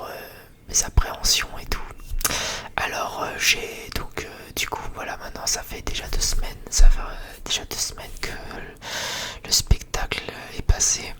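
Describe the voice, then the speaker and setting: Whispering man, rambling ASMR chat. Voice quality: breathy unvoiced whisper. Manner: rambling, hesitant, unscripted. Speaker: ASMR video creator.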